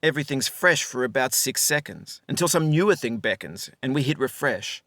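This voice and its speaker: A man giving reflective scripted narration as a game developer, in a measured, articulate, philosophical manner. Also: medium pitch, animated, fairly bright tone, no room sound, clean studio sound.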